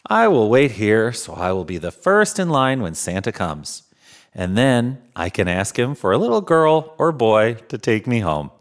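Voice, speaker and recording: A man's voice: mid-range voice, sing-song, unhurried. A man voicing a small, wistful, hopeful male teddy bear in an earnest, wondering way for a children's storybook.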